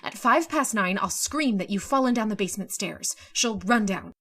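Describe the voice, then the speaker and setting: A woman's voice: medium pitch, animated, moderate pace, fairly bright tone. An actress playing a scheming, controlling woman in an old-time radio thriller, urgent and commanding.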